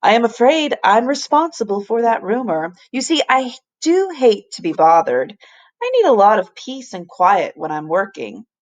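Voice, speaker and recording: A woman voicing an earnest, kindly male professor in a storybook, explaining things deliberately and with emphasis. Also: fairly high pitch, very animated, highs cut off, voice pushed up. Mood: serious.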